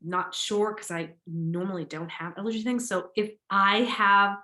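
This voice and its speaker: Bright, slow-talking, upbeat woman host. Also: medium pitch, fairly even intonation, echoey room. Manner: enthusiastic, upbeat, repeats words for emphasis. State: slight sniffle, a bit nasal. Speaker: podcast host. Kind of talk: casual solo podcast talk.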